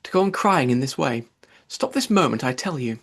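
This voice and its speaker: A man's voice: mid-range voice, animated, normal speed, creaky. A man voicing an anxious, chattering young girl from a storybook, musing fretfully to herself. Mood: scolding. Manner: muses aloud to self, fretful. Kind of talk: storybook character voice.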